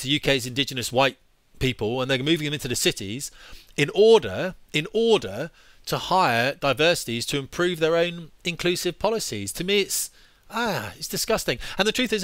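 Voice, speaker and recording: A man's voice: fairly high pitch, fairly bright tone, no room sound. A man in his forties with a headset mic, ranting indignantly as he comments on articles. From United Kingdom. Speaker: YouTube commentator, former fighter pilot. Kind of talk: opinionated commentary over on-screen articles.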